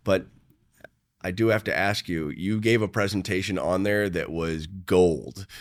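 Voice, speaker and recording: Very deep-voiced man interviewer, dry sound. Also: a little slow, no echo. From Tucson, Arizona. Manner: affirming, enthusiastic, repeats himself. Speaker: interviewer, host. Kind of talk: casual interview conversation.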